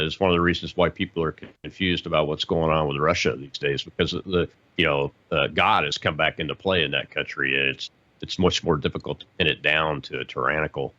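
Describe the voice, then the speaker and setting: A male host asking interview questions in a halting way, with many fillers and restarts. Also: rumbling low, steady tone, bright, forceful tone, no room sound, highs cut off.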